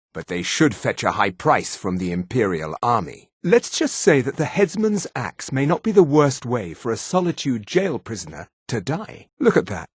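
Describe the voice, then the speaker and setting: An actor voicing a haughty, sneering high elf official in a fantasy video game, speaking in a pompous, condescending, precise manner. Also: male voice, breathy edge, no room sound, clean studio sound. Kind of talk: scripted fantasy game dialogue.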